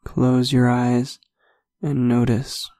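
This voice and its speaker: A man leading a guided meditation, speaking slowly and softly with long pauses between phrases. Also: mid-range voice, flat delivery, no room sound, clean studio sound. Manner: slow, soft-spoken, with long pauses.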